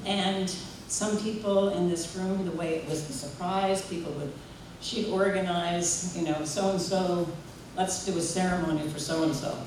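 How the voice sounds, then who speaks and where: A woman giving a warm, chatty tribute at a memorial gathering, telling stories and leading the room in song. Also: fairly low pitch, fairly even intonation, unhurried. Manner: warm, chatty, leads group singing. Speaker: memorial speaker and singer. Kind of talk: heartfelt spoken tribute. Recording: echoey hall from far off, noisy room.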